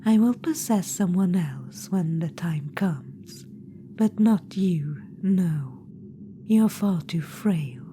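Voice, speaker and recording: Woman playing an undead witch-queen spirit, soft whispers, condescending, unhurried, mellow low voice. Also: expressive, a little hiss or hum. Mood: whispered, scheming. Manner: purring, patronizing, calls listener darling. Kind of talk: ASMR audio roleplay.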